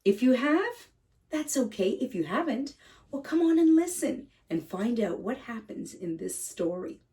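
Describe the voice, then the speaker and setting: Female children's story reader, soft mellow tone, expressive melody, addresses little listeners directly, slightly distant mic. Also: a little high, measured pace. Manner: addresses young children directly, simple gentle phrasing. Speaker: kindergarten read-aloud teacher and host. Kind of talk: children's picture book read-aloud.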